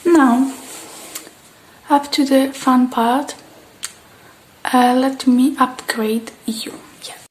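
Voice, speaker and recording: A woman with a fairly high, soft, breathy voice murmurs very slowly in an ASMR roleplay. She slips between quiet speech and whispering, gentle and unhurried. The close recording carries a faint noise. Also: steady tone, low-quality recording. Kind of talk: soft-spoken ASMR roleplay as a technician tending a robot.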